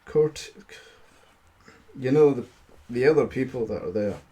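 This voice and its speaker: A man's voice: medium pitch, measured pace, mellow. A man hosting a live fairy-tale reading, self-deprecating, stumbling over words and breaking into crude casual asides. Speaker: storytelling livestream host.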